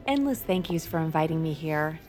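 A woman playing a sweetly intense, adoring daughter in a comedic audio drama, gushing with breathy sincerity. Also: low voice, animated, a little fast, darkish tone, soft background music.

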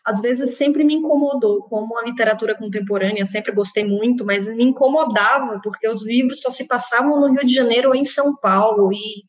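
A woman's voice: a little high, a little fast, hardly any pauses, low-quality recording. A woman from Goiás, a novelist guest in an interview, speaking earnestly and emphatically. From Goiás, Brazil. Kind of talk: casual panel interview.

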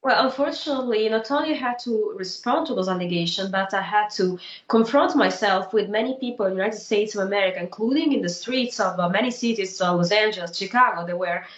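A woman from Italy with an Italian accent, a guest in a remote interview who speaks in a measured way, pausing often with uh. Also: medium pitch, echoey room, dull audio. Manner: measured, with frequent uh pauses.

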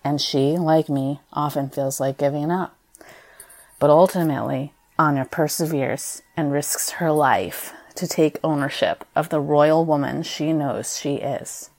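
Young American female performer, low register, expressive first-person storytelling, conversational read. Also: measured pace. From United States. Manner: expressive, conversational, acts out quoted dialogue. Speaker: stage performer. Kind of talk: reading a personal essay aloud, storytelling.

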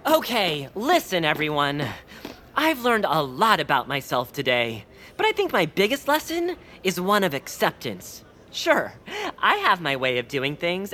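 An earnest amateur stage director in a comic audio drama. The delivery is hesitant and self-doubting, with a lively, expressive pitch. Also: female voice, full character voice. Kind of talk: scripted comedic audio drama acting.

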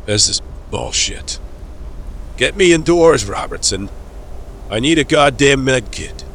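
A man's voice: medium pitch, expressive, measured pace, a bit bright, slight background noise. A man playing a bitter, domineering villain doctor in an audio drama, injured, in pain and making indignant demands. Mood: bitter, desperate. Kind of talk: scripted audio drama acting.

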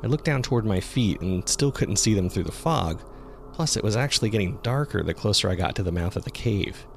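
A man performs a male jogger recounting an eerie experience in a scripted horror story. His voice sits very low, with a heavy creak at the edges. He tells it in a measured, suspenseful way. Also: expressive, faint music behind. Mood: measured, uneasy. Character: local male jogger recounting a terrifying discovery in the woods. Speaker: narrator. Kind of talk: scripted first-person horror narration. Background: ambient sound effects.

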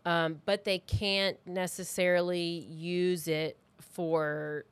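A woman tells a true crime story in a casual, conversational podcast style. Her voice sits a little low for a woman. The sound is dry, and she talks informally as if working through notes with a co-host. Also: little pitch movement, takes it slowly, clear, resonant tone, dry recording.

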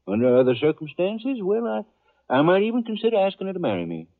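An actor playing a hearty, naive country suitor with a husky voice and a folksy, flustered manner, in 1950s radio western style. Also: male voice, high-pitched, wildly swooping pitch, quick pace. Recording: muffled 1950s radio recording.

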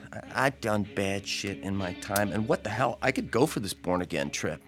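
A man's voice: on the low side, lively intonation, fairly bright tone, soft background music. An actor playing a slangy, cajoling young bad-boy biker in an audio horror drama. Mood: pushy, coaxing. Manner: slangy, cajoling, casual drawn-out delivery.

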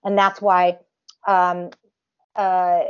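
A woman's voice: on the low side, little pitch movement, very slow, clean tone, dull audio. A woman with a nasal voice, a performer talking casually in an interview, full of restarts and fillers. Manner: talks in long runs with many restarts and fillers. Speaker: tribute performer guest.

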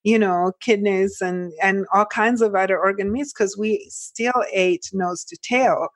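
A middle-aged woman with an Italian accent, a nutrition practitioner speaking earnestly as a guest in an interview, full of "like" and "you know" and quick restarts. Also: medium pitch, no echo. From Italy. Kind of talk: podcast interview.

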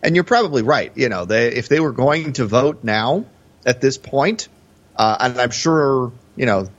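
A man's voice: medium pitch, animated, moderate pace. Slightly muffled male podcast co-host. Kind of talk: casual film analysis chat. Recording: slightly dull, lo-fi audio.

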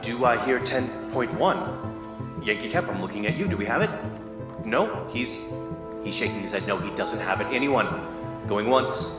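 An actor playing a brisk, formal male auctioneer calling bids in an audio drama, heard in a reverberant hall. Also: medium pitch, expressive, loud music behind the voice, lightly acted. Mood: expectant, calling. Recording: echoey hall, long reverb.

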